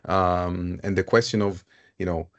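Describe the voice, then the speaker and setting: Low-voiced thoughtful man moderating a talk. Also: level tone, unhurried, lo-fi sound. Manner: thoughtful, searching, repeats phrases. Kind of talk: live panel conversation.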